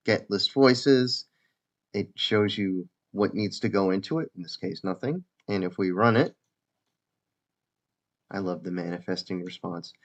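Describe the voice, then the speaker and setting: A man giving a casual, informal software demo as a developer presenting his own application. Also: fairly low pitch. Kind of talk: casual screen-share demo narration. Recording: dull lo-fi mic.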